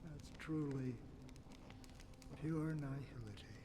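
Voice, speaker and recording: An actor playing a brooding, philosophical man in dramatic game dialogue, grave and deliberate, with weighty pauses. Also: mid-range voice, background noise, lightly acted.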